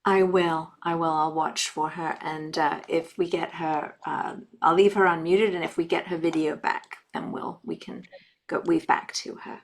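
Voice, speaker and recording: Calm woman producer on a video call. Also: low-pitched, moderate pace. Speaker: technical producer. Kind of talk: casual video call chat. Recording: faint background noise.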